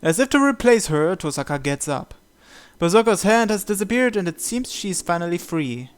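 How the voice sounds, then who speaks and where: A man reading a visual novel's story text aloud as narration, in a measured, deliberate way. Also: high voice, big pitch swings, slight background noise. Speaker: video game player reading the script aloud.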